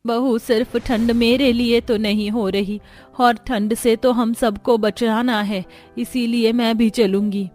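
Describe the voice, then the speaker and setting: A woman's voice: fairly high pitch, fairly even intonation, unhurried, a little mellow, faint music behind, lightly acted. A woman voicing earnest, caring women of a poor village family in a cartoon story. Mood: concerned, determined. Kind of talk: Hindi cartoon story dialogue.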